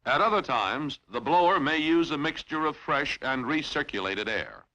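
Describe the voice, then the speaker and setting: A man reading scripted instructional narration in a formal, measured, clearly enunciated way, as in a training film. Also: fairly high pitch, very animated, sharp tone, low-quality recording.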